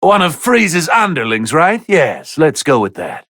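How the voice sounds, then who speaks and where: A man playing a haughty, bored god of destruction who commentates on video game fights in a condescending, sardonic, theatrical drawl with a gravelly edge. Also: a little high, very animated, unhurried, fairly bright tone, no room sound, clean studio sound, full character voice. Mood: detached, critical. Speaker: match commentator.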